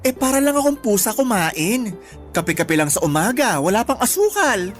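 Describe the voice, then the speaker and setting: An actress playing a blunt, protective big-sister friend in a Tagalog radio drama, teasing in slangy talk with a Filipino accent. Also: female voice, medium pitch, sing-song, normal speed, close to an everyday voice. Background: background music.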